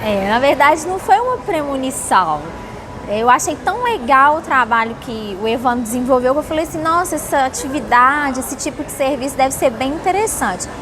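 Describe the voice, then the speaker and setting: A woman's voice: sits high, lively intonation, fairly quick. A woman from Salvador in Brazil answering interview questions with casual personal storytelling. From Salvador, Bahia, Brazil. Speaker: interviewee, company employee. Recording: noisy background with vehicle sounds.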